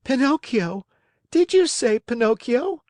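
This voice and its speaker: A woman's voice: mid-range voice, slow pace, darkish tone, no room sound, studio quality, voice pushed up, lightly acted. A woman voicing a young wooden puppet boy, emotional and eager, in a dramatic, plaintive storybook manner. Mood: pleading, anxious.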